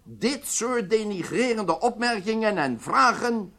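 A pompous, indignant man at an official hearing in a radio drama. His formal protests swing widely in pitch. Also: expressive, unhurried, sharp tone, full character voice.

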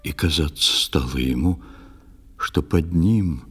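A man narrating a children's audio play in verse, in a measured, expressive, theatrical manner. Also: a bass voice, long reverb tail, soft background music.

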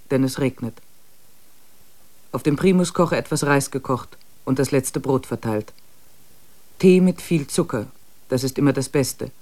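A man's voice: a little high, lightly acted. An actor playing a weary male expedition member who reads his diary entries in a sober, matter-of-fact way in a radio play.

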